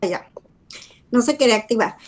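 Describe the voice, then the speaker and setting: A woman's voice: fairly high pitch, animated, normal speed. An older woman introducing herself at length to an online class. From Guadalajara, Mexico. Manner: chatty, rambling. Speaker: student. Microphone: video call.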